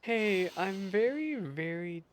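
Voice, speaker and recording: A woman's voice: medium pitch, lively intonation, slow pace, slight background noise. A woman giving casual, reactive commentary as she plays through a game, close to the mic.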